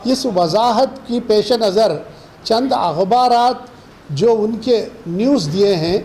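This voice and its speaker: High-pitched man, formal. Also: takes it slowly, a little mellow. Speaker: official spokesman. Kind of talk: press statement. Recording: clearly noisy background.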